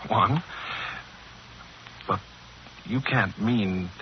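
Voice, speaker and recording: Younger hunter, wary guest, lowish voice, earnest and incredulous, vintage radio drama with dulled sound. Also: male voice, drawn-out words. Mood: incredulous, appalled. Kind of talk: 1940s radio drama acting. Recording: muffled, noisy 1940s radio recording.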